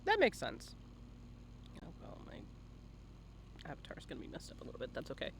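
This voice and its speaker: A man's voice: medium pitch, wildly swooping pitch, brisk, slight background noise. A man hosting a casual live-stream interview, asking questions and reacting informally to the answers.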